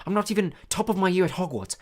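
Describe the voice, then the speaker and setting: A woman voicing a nervous, polite schoolboy wizard who talks in a hushed, hurried way. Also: on the low side, animated, pitched above the performer's own voice.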